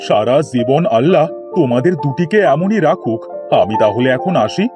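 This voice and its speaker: An actor playing a humble, pleading beggar who speaks piously in a cartoon. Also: male voice, medium pitch, expressive, moderate pace, over music, lightly acted. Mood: grateful. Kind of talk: cartoon dialogue.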